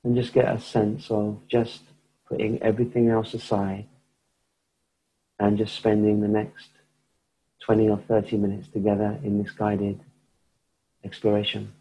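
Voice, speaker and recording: A low, husky-voiced man leads a guided meditation. He speaks slowly and flatly, leaving long pauses between phrases. His tone is mellow and level throughout. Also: rough recording. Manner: slow, soft-spoken, pausing often. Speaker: meditation teacher.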